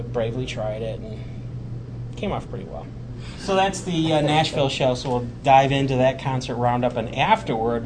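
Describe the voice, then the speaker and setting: A man's voice: mid-range voice, noisy background. A man hosting a podcast, talking casually with fillers and then reading a prepared roundup. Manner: conversational, hesitant with fillers, reads a prepared roundup. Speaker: podcast host. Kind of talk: casual podcast chat and show recap.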